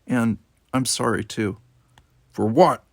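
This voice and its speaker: A man's voice: medium pitch, slow pace, creaky, dry recording, studio quality. A man voicing a feisty young human woman cadet, blunt, pointed and colloquial, in a story reading. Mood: softened.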